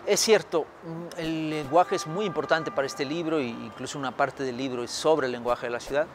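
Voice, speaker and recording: A man's voice: on the high side, moderate pace, over music. A middle-aged man from Mexico answering interview questions outdoors, thoughtful, with many fillers and restarts. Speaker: historian author. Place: outdoors by a building.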